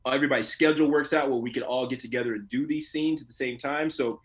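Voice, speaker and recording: A man who works as an actor, talking casually and colloquially as an interview guest, telling stories in an animated way. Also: mid-range voice, fast. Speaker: voice and motion capture actor being interviewed.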